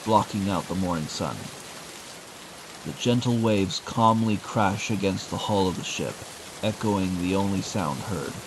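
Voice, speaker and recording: A man's voice: low-pitched, background noise. A man narrating an adventure audio drama in a scripted, dramatic storytelling style. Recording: rain and music under the voice. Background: music and rain.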